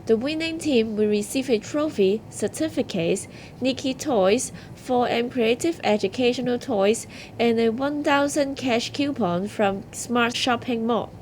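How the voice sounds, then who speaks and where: High-voiced woman announcing awards. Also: measured pace, slight background noise, poor audio. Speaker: awards ceremony host.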